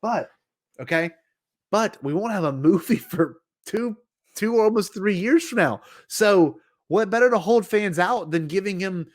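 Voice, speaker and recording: A male podcast co-host with a moderately high voice talks casually as a fan. He is keen and emphatic, peppering his loose, conversational delivery with slang. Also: animated, measured pace.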